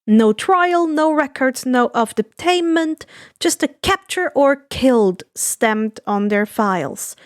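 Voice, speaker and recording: A woman reading fan fiction aloud as an expressive story narrator. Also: sits high, slow pace, no room sound, studio quality.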